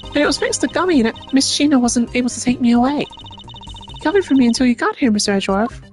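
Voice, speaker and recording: An excitable young girl from a video game, put on by an amateur voice actor, pitched very high. She is cheerful and curious, and speaks in eager exclamations over background music. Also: fast. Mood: bright, curious.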